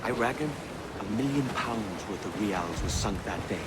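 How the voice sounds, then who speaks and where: An actor playing an ambitious, hard-headed pirate adventurer in a video game, brisk and confident. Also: male voice, fairly low pitch, expressive, very noisy, natural voice. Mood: boastful. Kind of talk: video game cutscene acting. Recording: loud music underneath.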